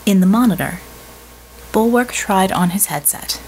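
A woman's voice: mid-range voice, lively intonation, moderate pace, a little mellow, a little hiss or hum, low-quality recording. A woman reading scripted fiction as an audiobook narrator.

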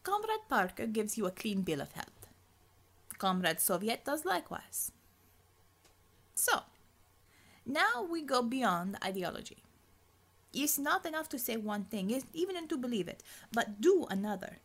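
A woman's voice: a little high, very animated, unhurried, fairly bright tone, pitched above the performer's own voice, exaggerated voice. Audiobook role: imperious Russian woman officer, voiced by a female reader, stern and formal, accented, clipped delivery. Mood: brisk, demanding.

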